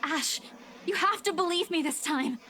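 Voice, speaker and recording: A desperate young woman presses her case with insistent urgency. Her voice sits very high, and she keeps pushing even when brushed off, in a game scene. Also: normal speed, a little hiss or hum. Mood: urgent. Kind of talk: video game voice acting.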